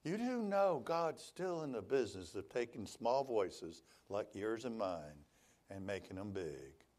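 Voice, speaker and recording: Lively storytelling male preacher. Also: fairly low pitch, a little slow. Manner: storytelling, voicing quoted people. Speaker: pastor. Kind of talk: sermon.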